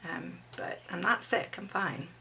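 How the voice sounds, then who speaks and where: Chatty middle-aged woman, bright and a bit hoarse. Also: on the low side, measured pace. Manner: chatty, reads book blurbs aloud. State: slightly hoarse. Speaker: book vlogger. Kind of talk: casual book chat and blurb reading.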